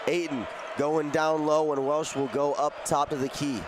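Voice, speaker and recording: A man's voice: a little high, flat delivery, moderate pace. A man giving live, conversational basketball analysis as a color commentator. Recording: noisy basketball arena ambience. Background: arena noise, bouncing basketballs.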